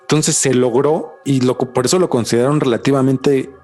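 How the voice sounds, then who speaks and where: A man's voice: medium pitch, steady tone, moderate pace, darkish tone, faint music behind. A man with a Mexican Spanish accent hosting a podcast, talking casually with a lot of slang and swearing. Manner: slangy, swears a lot, says "güey" constantly. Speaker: podcast host. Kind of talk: casual chat.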